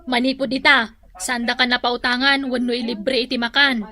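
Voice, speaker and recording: High, bright, no-nonsense Ilocano co-worker. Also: female voice, little pitch movement, moderate pace. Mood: reproving. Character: firm, no-nonsense young female co-worker. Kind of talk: comic radio drama acting.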